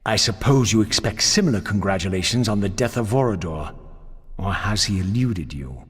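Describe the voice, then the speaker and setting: An actor playing a bitter, defiant undead avenger in a video game, theatrical and sardonic, with formal archaic diction. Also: male voice, on the low side, expressive, normal speed, slightly rough. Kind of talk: dramatic video game voice acting.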